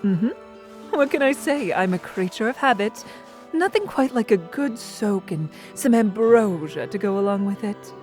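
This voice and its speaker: A woman's voice: medium pitch, expressive, moderate pace, darkish tone, soft background music, lightly acted. A woman playing a languid, regal goddess in an audio drama, with airy, posh phrasing, haughty yet affectionate. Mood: indulgent.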